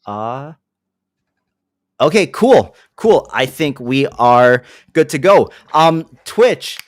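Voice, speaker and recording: A man's voice: mid-range voice, big pitch swings, drawn-out words, dry recording, studio quality. A young man hosting a casual livestream, upbeat and chatty, with lots of fillers. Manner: upbeat, greets chat, filler-heavy. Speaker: livestream host.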